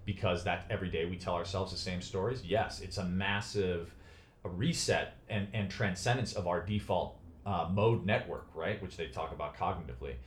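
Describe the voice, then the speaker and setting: A man's voice: sits low, moderate pace, a little hiss or hum. A man in his forties from Chicago, talking as a podcast guest in an earnest, thoughtful way and often restarting phrases as he searches for words. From United States (Chicago). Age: 40s. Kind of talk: reflective conversational interview. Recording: roomy echo.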